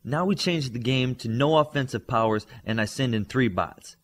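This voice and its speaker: A man voicing a stern male coach of young superheroes in an audiobook, with loud, clipped authority. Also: medium pitch, moderate pace, lightly acted. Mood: brisk, instructive.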